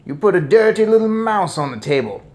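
An irate English father from a children's story, put on by a narrator, blusters at high volume. His voice rises in pitch as he splutters pompously. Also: very animated, fairly quick, darkish tone, close to an everyday voice. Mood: furious.

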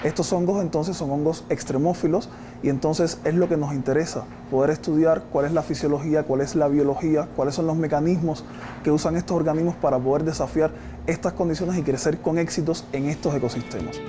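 A man's voice: fairly high pitch, fairly even intonation, a little fast, noisy background. A man in his thirties with a Cuban accent, a research scientist explaining things in a documentary interview, recorded on a lapel mic. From Cuba. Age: 30s. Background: background music.

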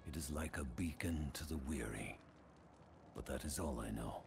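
An actor playing the tormented ghost of a barbarian warrior in a fantasy game, gravelly, halting and mournful. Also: male voice, a bass voice, noisy background. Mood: solemn. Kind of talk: fantasy video game voice acting. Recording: game music behind the voice.

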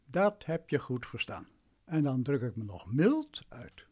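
An actor playing a self-important, domineering lawyer in a radio play, patronising and imperious. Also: male voice, medium pitch, very animated, measured pace, a little mellow, breathy edge.

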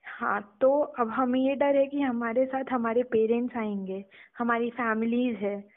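A woman's voice: a little high, steady tone, measured pace. A young woman with an Indian accent, a student caller making a deferential appeal over the telephone. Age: young adult. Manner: deferential, often saying "sir". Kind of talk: phone conversation, making an appeal. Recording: muffled, narrow-band recorded phone call.

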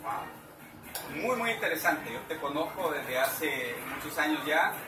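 A man's voice: a little high, lively intonation, slow, over music. A middle-aged man from El Salvador hosting a casual interview at home, asking friendly questions with a few fillers. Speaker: interviewer and channel host. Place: living room at home. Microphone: far camera. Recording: roomy, far from the camera mic.

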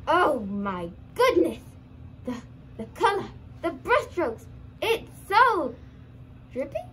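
A woman's voice: very high-pitched, huge pitch swings, very slow, long pauses, full character voice. A young woman voicing a snooty, dismissive female puppet in a scripted puppet show. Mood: haughty. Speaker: student puppeteer. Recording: faint background noise.

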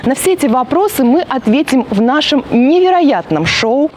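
A woman's voice: sits high, expressive, normal speed, darkish tone, slight background noise. A woman hosting a show, reading a scripted reality show narration.

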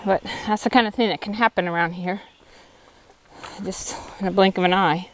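A woman's voice: mid-range voice, fairly even intonation, normal speed. A woman hiker talking casually and candidly to a handheld phone camera while walking a forest trail outdoors. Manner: chatty, candid, a little tired. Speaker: thru-hiker vlogger. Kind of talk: casual walking vlog narration.

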